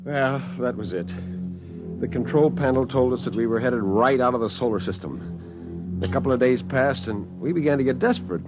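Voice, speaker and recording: A man's voice: medium pitch, moderate pace, over music, lightly acted, rough recording. A man playing a wry, streetwise spaceman who tells his own tale in a 1950s radio drama. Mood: weary, narrating. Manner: colloquial, wisecracking.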